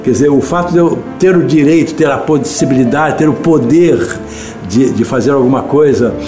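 A man's voice: medium pitch, normal speed. A man with a Brazilian accent explaining ideas reflectively, repeating phrases for emphasis. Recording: guitar music behind the voice. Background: guitar music.

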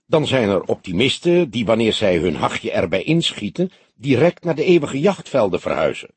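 A man voicing an old police detective in an audiobook, solemn, philosophical and gentle, speaking in a grave, measured, lecturing way. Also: mid-range voice, big pitch swings, slightly rough, no echo. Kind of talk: audiobook character dialogue.

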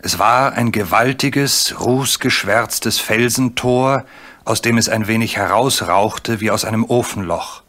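A man narrating a children's story from a script, with measured, dramatically drawn-out phrasing. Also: lowish voice, fairly bright tone. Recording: clearly noisy old recording.

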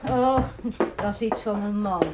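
A woman's voice: medium pitch, measured pace, close to an everyday voice. A woman acting a put-upon innkeeper in a radio drama, curt and complaining in her delivery. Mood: flustered, curt. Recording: old radio play recording, hissy, clicks.

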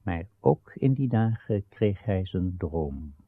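An actor playing a solemn storyteller in a radio drama, narrating an ancient legend in measured, portentous phrases. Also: male voice, sits low, expressive, exaggerated voice. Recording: narrowband old radio recording.

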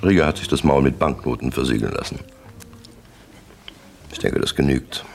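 Very deep, gravelly crime boss. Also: male voice, faint music behind. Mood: lecturing, disdainful. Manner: deliberate, terse, lecturing. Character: coldly pompous crime syndicate boss, refined and menacing. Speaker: actor. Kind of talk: German crime radio drama acting.

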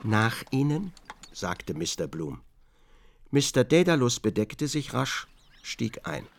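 A man reads literary narration for a radio drama. His voice is mid-pitched with a clear gravelly creak, delivering scripted prose as the narrator. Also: sing-song, slow pace.

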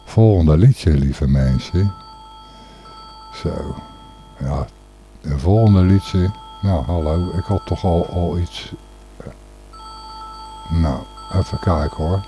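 A man's voice: low-pitched, takes it slowly, pauses often, over music. A man hosting a podcast in rambling, casual solo talk, hesitant and a little distracted. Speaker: podcast host.

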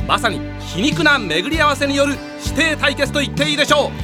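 An anime soccer commentator, super high and fast, dramatic, music behind him. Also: male voice, expressive. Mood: eager, building. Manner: dramatic, breathless build-up of each play. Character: excitable male soccer match play-by-play announcer. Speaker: sports commentator.

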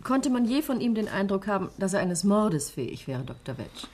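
A woman's voice: medium pitch, sing-song, brisk, a little mellow. An actress playing a charming, teasing young woman in a 1960s German radio crime drama. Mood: concerned. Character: charming, playful young woman, the sleuth's sweetheart. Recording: 1960s radio recording, clearly noisy.

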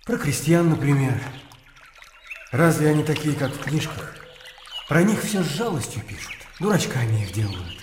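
A plain-spoken peasant man, acted by an actor in a radio play. His talk is folksy and earnest, with a very animated pitch. Clear noise and echo surround him. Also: close to an everyday voice. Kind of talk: radio drama acting. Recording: echoey, noisy outdoor radio drama scene.

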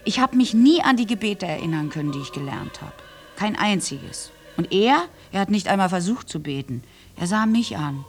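An actress playing a bitter, war-scarred orphaned young woman in a radio play monologue, halting and intense. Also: medium pitch, animated, slight background noise, natural voice. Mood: haunted, grim. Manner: halting, intense, dropping into breathy whispers. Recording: music behind the voice. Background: music.

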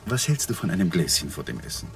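An actor playing a gentle husband in a radio drama who keeps trying to smooth things over. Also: male voice, low voice, very animated, quick pace, very noisy, heavily acted. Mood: placating. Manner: soft and placating. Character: gentle conciliatory husband, eager to please. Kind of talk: 1980s radio drama acting.

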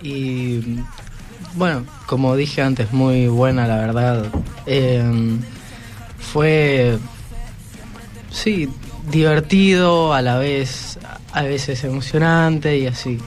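A teenage male student speaking informally and politely as a guest in a radio studio, somewhat halting. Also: mid-range voice, steady tone. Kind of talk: informal prepared thanks and comments. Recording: music behind the voice.

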